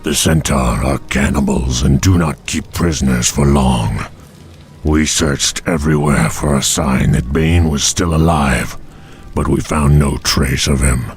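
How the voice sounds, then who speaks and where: An actor voicing a huge, grave bull-man tribesman in a video game, solemn, measured and worried. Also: very deep voice. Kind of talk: video game cinematic acting.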